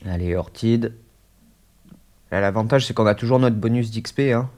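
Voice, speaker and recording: A man's voice: medium pitch, moderate pace, a little mellow. A man with a head cold, chatting casually through a gaming session at his desk mic, hesitant and full of fillers. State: stuffy, has a cold. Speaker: gaming YouTuber. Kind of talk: casual let's-play commentary.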